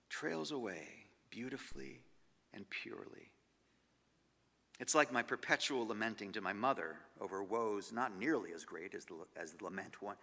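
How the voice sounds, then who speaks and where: A man giving a measured, expressive stage reading of diary entries, sinking to near-whispers at the ends of phrases. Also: lowish voice, creaky. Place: large concert hall. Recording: long hall reverb.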